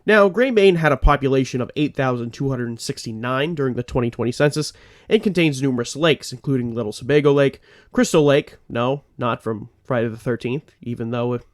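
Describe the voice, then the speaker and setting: Male podcast host, congested and slightly phlegmy, earnest true crime narration with rambling asides. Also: mid-range voice.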